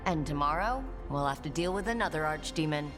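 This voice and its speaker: A determined fantasy game heroine. Her voice is low and expressive, with a wry edge and music clearly behind it. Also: brisk. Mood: wry resolve. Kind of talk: video game character dialogue.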